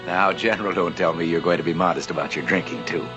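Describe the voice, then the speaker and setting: An actor playing a confident, persuasive banker who schemes, in an old western TV drama. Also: male voice, deep voice, fast talker, close to an everyday voice. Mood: coaxing. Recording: dull old TV soundtrack with music.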